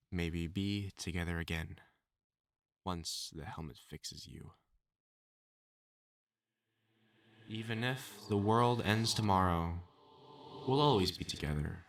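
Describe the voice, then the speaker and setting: Obsessive male supervillain in love, played by a male actor, intimate audio drama: crisp forceful tone on the low side, theatrical, desperate, menacing. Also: no echo. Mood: tender, obsessive. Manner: pleading, stammering, theatrical endearments. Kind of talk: dramatic audio roleplay, intimate monologue to listener.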